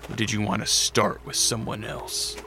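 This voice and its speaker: An actor playing Dracula as a brooding, restrained vampire count in a radio drama, speaking in measured, formal phrases. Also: male voice, lowish voice, little pitch movement, pushed and bright. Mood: cold, accusing. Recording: music behind the voice.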